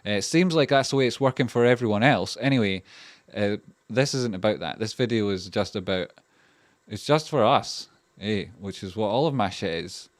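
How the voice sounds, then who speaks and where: A man's voice: lowish voice, moderate pace, no echo. A man with a Scottish accent hosting a casual livestream, talking in a loose, rambling way with lots of fillers and restarts and free swearing as he reads out donations. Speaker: livestream host.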